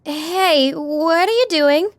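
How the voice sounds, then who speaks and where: A woman playing a youthful, enthusiastic, easily awed sidekick in a science fiction audiobook, with an eager, openly expressive delivery. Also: very high-pitched, slow pace, no echo. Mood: insistent, curious.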